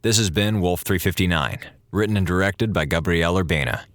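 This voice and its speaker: A man's voice: sits low, brisk, a bit bright, dry recording, studio quality. A man working as an announcer, reading scripted closing credits for an audio drama. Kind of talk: scripted narration.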